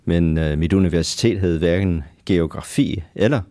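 A man with a very deep, mellow voice answers interview questions as a professor. His first language is English, and he pauses often, filling the gaps with halting sounds. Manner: halting, many øh fillers. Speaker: professor guest.

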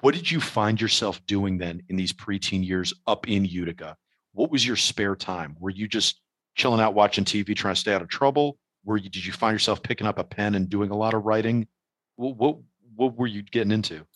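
A low-voiced man from New York City talking loosely and animatedly, his storytelling peppered with swearing. The sound is dry and close, with no room around it. He hosts a casual conversation. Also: brisk, a bit bright, no room sound. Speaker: podcast host.

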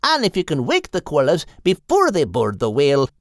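A man's voice: on the high side, wildly swooping pitch, moderate pace, dry recording, clean studio sound. A man voicing a plainspoken male seagull with folksy, homespun speech in an audiobook. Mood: helpful. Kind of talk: audiobook character voice.

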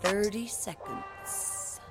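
A woman's voice: medium pitch, steady tone, drawn-out words, soft background music. A woman playing a commanding female emcee who judges a prison rap battle in a comedy audio drama. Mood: announcing.

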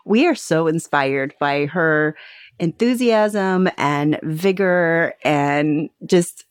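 A woman's voice: low-pitched, drawn-out words, clear, resonant tone, no room sound, clean studio sound. A woman co-hosting a podcast who talks in a gushing, enthusiastic way and laughs often. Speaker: podcast co-host. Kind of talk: upbeat podcast intro and interview.

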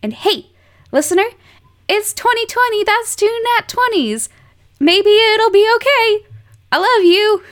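A woman's voice: very high voice, expressive, measured pace, a bit bright. A woman presenting a scripted podcast segment, playful and chatty, addressing the listener directly.

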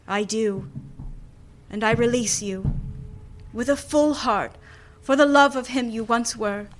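Woman acting sorrowful young fiancée, fairly high voice. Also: fairly bright tone, slight background noise. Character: sorrowful, resolute young fiancée breaking off engagement. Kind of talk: live community radio drama acting.

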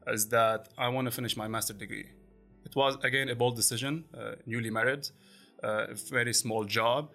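A man in his forties, a podcast guest who speaks haltingly with many fillers and restarts. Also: medium pitch, sharp tone. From Lebanon. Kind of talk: conversational interview.

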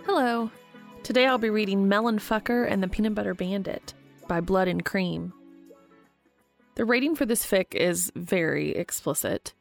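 A woman's voice: medium pitch, normal speed, vocal fry, soft background music. A woman hosting a podcast and reading fan fiction aloud as scripted, audiobook-style narration. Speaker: podcast host and reader.

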